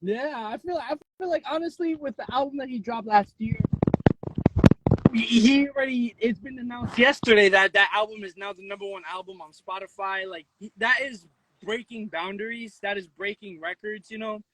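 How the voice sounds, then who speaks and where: High, bright New man. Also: normal speed, slight background noise, low-quality recording. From the Bronx, New York. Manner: animated storytelling, slangy, switches into Spanish. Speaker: interview guest, content creator. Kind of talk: casual, opinionated chat.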